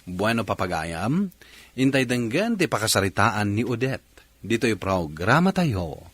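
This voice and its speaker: A man's voice: lowish voice, big pitch swings, measured pace, fairly bright tone, slightly rough, dry recording. A man with an Ilocano accent hosting a radio drama, delivering a scripted introduction as narrator.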